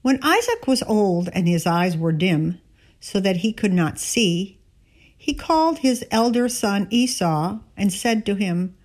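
Slow-paced woman reading scripture. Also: mid-range voice, expressive, darkish tone. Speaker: scripture reader. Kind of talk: scripted Bible reading, narration with dialogue.

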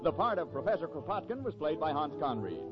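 A man announcing on 1940s radio with a polished sales pitch. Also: very animated, quick pace, over music. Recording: muffled vintage 1940s radio broadcast.

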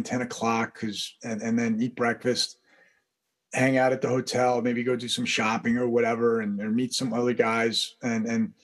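A man as a podcast guest, telling long stories in a relaxed, rambling way with frequent laughs. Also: medium pitch. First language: English. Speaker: guest, baseball interpreter. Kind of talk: casual storytelling interview.

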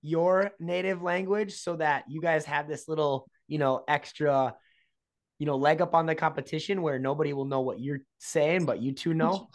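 A man's voice: fairly high pitch, steady tone, normal speed. A man hosting an interview, leading into his questions with long chatty asides. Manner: chatty, rambling lead-ins to questions. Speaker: podcast host.

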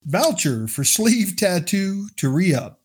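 A co-host on a casual podcast, making slow wry asides. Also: mid-range voice, sing-song, slightly rough. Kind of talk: casual joking chat.

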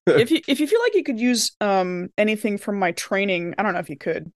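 A woman's voice: medium pitch, expressive, brisk. A woman chatting casually and joking as a player in a tabletop role-playing game. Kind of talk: casual banter during a game.

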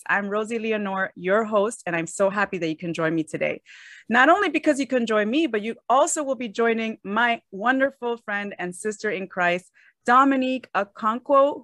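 A woman's voice: mid-range voice, fairly bright tone, no room sound. A woman hosting a podcast, speaking with enthusiasm and a faith-centered focus, recorded on a close mic. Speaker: podcast host. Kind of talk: warm podcast interview.

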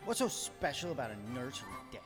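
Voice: mid-range voice, sing-song, quick pace, soft background music, lightly acted. A performer playing a bold, wide-eyed young boy in a 1950s radio drama. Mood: excited, amazed.

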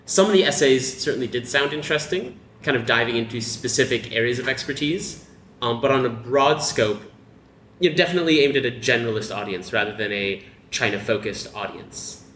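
A man from the United States with an American accent, giving his view in a measured, articulate way in a group discussion. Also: mid-range voice, fairly bright tone. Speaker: discussion participant. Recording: roomy echo, faint background noise.

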